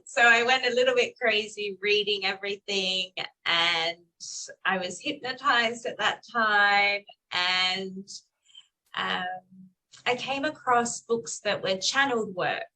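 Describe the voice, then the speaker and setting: Bright-voiced woman, Australian lilt. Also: medium pitch, slow pace, poor audio. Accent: Australian with South African influence. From Perth, Western Australia. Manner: chatty, laughs often, frequent fillers. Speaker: interview guest. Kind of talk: casual conversational interview.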